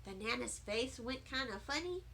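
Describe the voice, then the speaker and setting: A woman giving an expressive, animated and deliberate narration of a children's audiobook. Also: on the high side, gravelly. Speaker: storybook reader.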